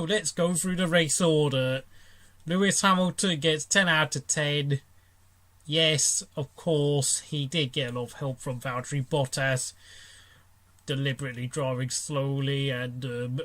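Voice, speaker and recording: A man with a fairly high voice hosts a motor racing review, rating drivers as he goes. He speaks off the cuff and gives blunt opinions, often pausing to find his words. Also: fairly bright tone. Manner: opinionated, blunt, hesitant mid-sentence. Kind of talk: unscripted race commentary and driver ratings.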